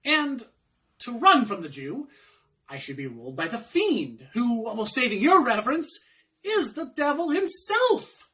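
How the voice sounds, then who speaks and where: A man's voice: extremely high pitch, big pitch swings, unhurried, sharp tone, recorded from a distance, full character voice. A man playing a comic, dithering young servant in a Shakespearean monologue, theatrically arguing between temptation and conscience.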